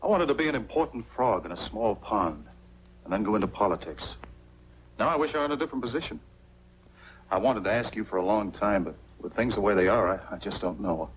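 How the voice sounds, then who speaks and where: An actor playing an earnest, frustrated small-town lawyer with an American accent, in 1940s film style. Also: male voice, on the low side, lively intonation, a little fast, lightly acted. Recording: dull, noisy 1940s film soundtrack with hum.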